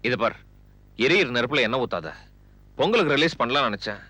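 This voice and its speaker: A man's voice: medium pitch, big pitch swings, quick pace, pushed and bright, no echo. A man playing an irritable, stressed film producer and husband in a television serial, curt and defensive. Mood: frustrated. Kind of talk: Tamil television serial acting.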